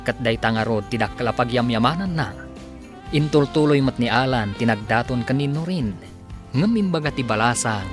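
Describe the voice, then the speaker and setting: A male announcer narrates a radio drama serial in a dramatic scripted style. His voice is mid-pitched, with music playing clearly behind it.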